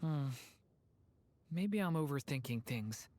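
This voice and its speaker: A man's voice: fairly high pitch, animated, slow, a little mellow, a little hiss or hum. An actor playing an earnest, hesitant young man in a fantasy video game. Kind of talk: video game character acting.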